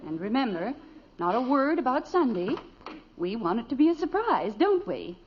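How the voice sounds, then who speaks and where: Firm American mother, lively high-pitched voice. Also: normal speed, noisy background. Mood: admonishing. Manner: admonishing, decisive. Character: firm, proper, patient mother of a family. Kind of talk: old-time radio sitcom acting. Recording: dull vintage radio recording.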